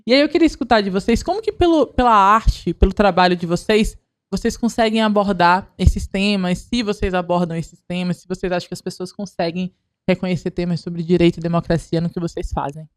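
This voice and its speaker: A woman's voice: fairly low pitch, a little fast. A woman hosting a podcast, putting questions to guests in a conversational way. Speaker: podcast host.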